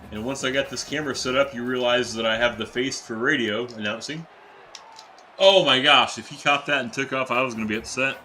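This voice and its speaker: Excitable man streaming a football game. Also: mid-range voice, fairly quick, soft background music. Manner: excitable, chatty, reacts to plays and reads chat aloud. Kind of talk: casual live-stream commentary.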